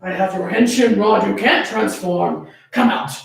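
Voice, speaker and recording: Menacing tentacled demon played by a woman, male villain in a fan fiction reading, slow threats, voice dropped, very roomy sound. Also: mid-range voice, gravelly, pitched below the performer's own voice. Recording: echoey room, distant from microphone.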